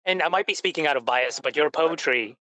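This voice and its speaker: A man's voice: a little high, fairly quick, bright, forceful tone, poor audio. A man hosting a podcast, chatting casually and agreeably with his guest. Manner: easygoing, agreeable, laughs lightly. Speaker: podcast host. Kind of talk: casual interview chat.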